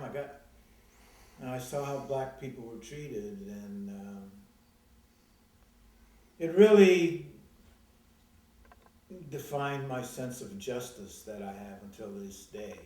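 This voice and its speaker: A man's voice: on the low side, darkish tone, recorded from a distance, slight background noise. Older man reminiscing in an echoey room. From Gary, Indiana, USA. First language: Greek. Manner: reflective, halting, with long pauses. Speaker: oral history interviewee. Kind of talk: reminiscing conversation.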